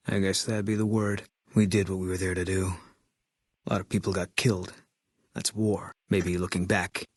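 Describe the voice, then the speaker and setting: Husky, grim ex-military sniper. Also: male voice, dry recording, studio quality, full character voice. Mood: grim, guarded. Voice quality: low, husky. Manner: terse, stoic, fatalistic delivery. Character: grim, haunted, terse male ex-military sniper. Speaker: video game companion character. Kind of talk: video game dialogue.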